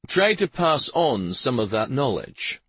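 A man's voice: medium pitch, big pitch swings, a little slow, a bit bright, husky, no room sound, voice pushed up, exaggerated voice. A man voicing a commanding, kindly army major in an audiobook fantasy story. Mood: firm, instructive. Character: commanding military major giving orders, firm but kindly man.

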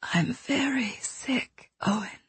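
A woman voicing a weak, dying woman mentor who speaks in a faint, breathy whisper, struggling for breath. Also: fairly high pitch, lively intonation, takes it slowly, fairly bright tone, dry recording, pitched above the performer's own voice, exaggerated voice. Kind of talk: audiobook character voice.

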